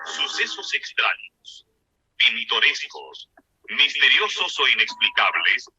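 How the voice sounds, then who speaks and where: A man's voice: mid-range voice, very animated, takes it slowly, pushed and bright, lo-fi sound. A man announcing an old-fashioned radio drama in a suspenseful, theatrical way. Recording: band-limited old radio broadcast with music.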